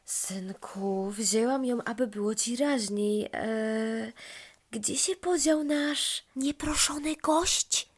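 A woman voicing a gentle, reassuring mother in a children's story, speaking softly and soothingly. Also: on the high side, slow, pitched above the performer's own voice. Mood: tender, curious. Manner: soft, soothing.

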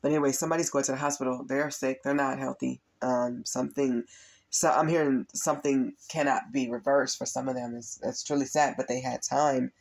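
Raspy, gravelly-voiced man reading cards. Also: medium pitch, fairly even intonation, a little fast. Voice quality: raspy from allergies and overuse. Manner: earnest, repetitive, addresses viewers as you guys. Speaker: tarot reader and spiritual coach. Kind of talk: spiritual reading monologue to camera.

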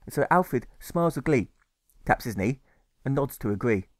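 Male audiobook narrator: mid pitch, measured rhythmic verse reading, rhymes stressed, dry. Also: lively intonation, dry recording. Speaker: author reading his audiobook. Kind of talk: rhyming scripted narration.

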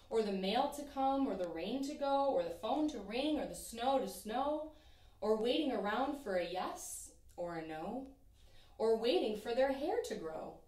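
A young woman teacher reading a rhyming children's picture book aloud in an expressive, sing-song way. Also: fairly high pitch, recorded from a distance. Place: small room in front of a painted backdrop. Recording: echoey room, voice from off-mic.

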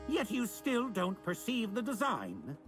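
A man's voice: sits high, wildly swooping pitch, measured pace, music in the background, full character voice. An actor playing a theatrical, deranged serial killer in his sixties, a pompous and grandiose video game villain. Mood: grandiose, menacing.

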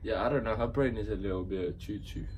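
A young man chatting casually at home with his partner, teasing her and laughing loudly. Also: on the low side, quick pace, a little hiss or hum, poor audio. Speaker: co-host. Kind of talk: casual chat reacting to old clips. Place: living room at home.

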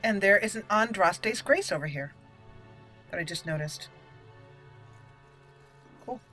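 A woman's voice: medium pitch, lively intonation, a little slow, sharp tone, faint music behind. A woman giving casual game commentary as host, chatty and giggly, musing aloud as she plays.

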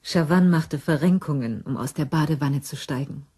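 An actress as the sober, measured narrator of a German crime radio drama. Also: female voice, deep voice, dark tone, slightly rough.

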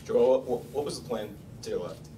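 A man's voice: mid-range voice, normal speed, mellow. A male reporter asking a question at a press conference, recorded from a distance in a noisy room.

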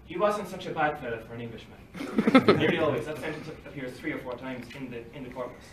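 A man's voice: medium pitch, fast. Distant man reading an academic talk. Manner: reads from paper at a lectern, dry asides with short laughs. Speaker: conference speaker. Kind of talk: academic talk read from notes. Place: library meeting room with bookshelves. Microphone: far phone camera across the room. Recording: roomy, distant, faint hiss, livestream quality.

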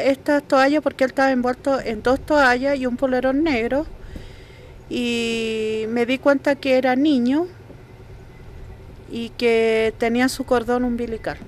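A woman's voice: on the high side, slight background noise. A woman in her thirties with a Chilean accent, interviewed outdoors as a witness, retelling events plainly and hesitantly into a handheld mic. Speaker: neighbor and witness. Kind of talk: street interview. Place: outdoors by a building. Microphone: handheld reporter mic.

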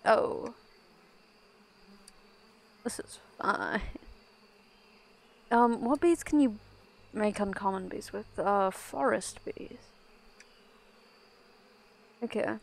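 A young woman from Ireland streams a game and chats in a slow, drawn-out way. Her voice carries a gravelly fry, and she rambles, restarts and trails off mid-sentence. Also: medium pitch. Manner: rambling, self-interrupting, laughs often. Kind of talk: casual gameplay chat.

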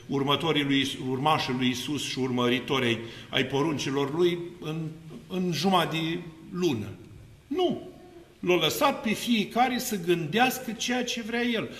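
A middle-aged man, an Orthodox priest, preaching an unscripted sermon with emphatic swings between low musing and loud exclamations. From Romania. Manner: animated hand gestures while seated. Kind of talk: extemporaneous sermon. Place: seated before an iconostasis in a church. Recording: echoey church hall.

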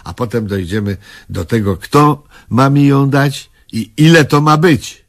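An actor playing a cocky, lazy middle-aged handyman in a comedy radio play, grumbling bluntly with a gravelly, husky voice. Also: medium pitch, unhurried. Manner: grumbling, blunt, self-important.

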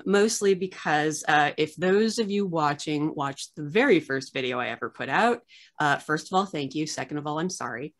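Woman, 30s, low-pitched, casual chatty host on a webcam call, filler-heavy and self-mocking. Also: fairly bright tone. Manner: chatty, many filler uhs, self-deprecating jokes. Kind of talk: casual video-call interview. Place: home room with framed art on the wall.

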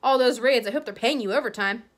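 A woman's voice: fairly high pitch, quick pace, close to an everyday voice. A woman doing a sardonic wizard dad. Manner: teasing, sardonic.

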